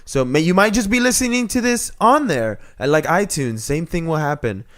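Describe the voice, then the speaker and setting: A man's voice: on the high side, lively intonation, moderate pace, fairly bright tone. A man co-hosting a casual podcast, upbeat and affirming as he talks. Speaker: podcast co-host. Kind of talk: casual interview chat.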